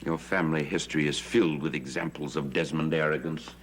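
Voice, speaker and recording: An actor playing an aggrieved, insinuating husband in a vintage gothic soap opera, speaking in a formal, measured, pointed way. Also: male voice, extremely low pitch, steady tone, slight background noise. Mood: veiled menace. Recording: vintage TV soundtrack with loud music. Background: dramatic background music.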